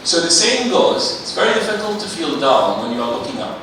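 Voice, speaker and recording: Middle-aged man, panel speaker, lively and coaxing, heard from a distance in a big echoey hall with noise. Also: a little high, fairly quick. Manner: animated, coaxing the audience. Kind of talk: seminar talk leading an audience exercise. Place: large seminar hall.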